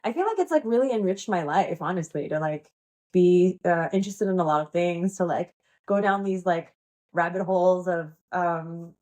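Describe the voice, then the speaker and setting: A woman's voice: lowish voice, normal speed, darkish tone. Bubbly giggly woman, heavy fillers. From United States. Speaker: guest, pole dance instructor and playwright. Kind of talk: casual interview chat.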